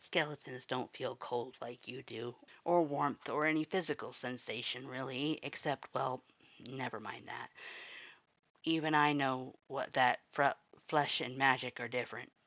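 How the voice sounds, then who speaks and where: A narrator voicing a gruff male skeleton monster, guarded but protective, with a raspy, mumbling delivery in a story reading. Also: fairly even intonation, unhurried, fairly bright tone, no echo, muffled recording. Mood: quiet, gentle. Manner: low-key, mumbling.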